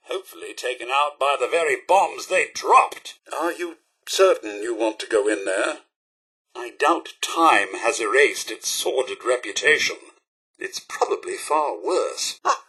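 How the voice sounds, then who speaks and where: A man's voice: mid-range voice, bright, forceful tone, breathy edge, exaggerated voice. A man voicing a polite, fussy, loyal robot butler in a video game, with a British accent and a prim, formal, dryly theatrical manner. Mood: excited, sardonic. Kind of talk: video game companion voice acting.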